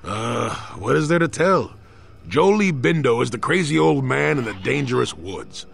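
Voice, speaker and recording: An actor playing a crotchety old hermit Jedi in a video game, with a wry, gruff, sardonic manner. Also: male voice, medium pitch, very animated, moderate pace, faint music behind. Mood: dismissive, wry. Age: older. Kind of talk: video game character acting.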